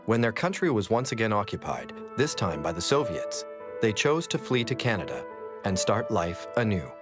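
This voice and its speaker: A man's voice: lowish voice, very animated, normal speed, over music. A man delivering scripted documentary narration.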